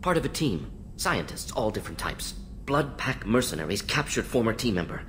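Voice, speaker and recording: An actor playing an intense alien scientist in a video game, speaking in brisk, clipped, analytical fragments. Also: male voice, very animated, a bit bright. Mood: brisk, informative. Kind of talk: video game character acting. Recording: clear low rumbling background noise.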